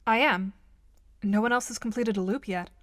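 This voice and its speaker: A woman playing a dryly witty, superior teenage girl in an audio drama, clipped, deadpan and bossy. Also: medium pitch, normal speed, close to an everyday voice. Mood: dry, curt. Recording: clearly noisy, clicking line.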